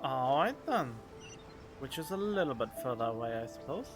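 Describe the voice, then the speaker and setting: A man's voice: mid-range voice, big pitch swings, normal speed, music in the background. A man streaming a game with casual, playful commentary, reacting aloud.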